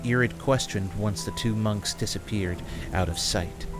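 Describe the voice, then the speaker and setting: A man's voice: fairly low pitch, measured pace, music in the background. A man reading scripted narration for a fantasy audio drama.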